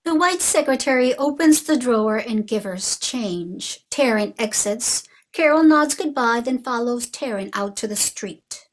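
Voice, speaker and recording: A woman's voice: a little high, animated, unhurried, hardly any pauses, low-quality recording. A woman narrator reading stage directions as scripted narration for a play reading.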